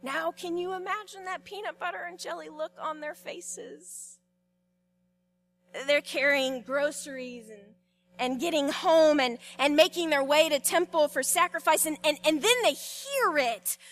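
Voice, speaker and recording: A woman pastor delivering a sermon, telling the story expressively with repetitions and dramatic pauses. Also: high-pitched, fairly bright tone.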